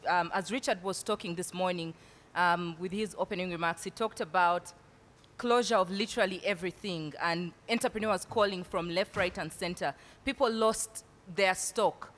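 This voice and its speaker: A woman moderating a panel. She has a loud voice and uses lots of fillers. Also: moderate pace. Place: large event hall. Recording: hall reverb, faint rumble.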